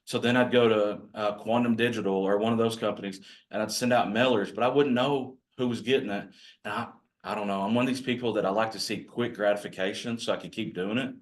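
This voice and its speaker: Guy with a low growly bear kinda voice, rambling folksy workshop talk to agents. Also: fairly even intonation, quick pace. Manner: folksy, rambling, self-deprecating. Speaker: real estate agent training other agents. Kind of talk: casual workshop talk to a group.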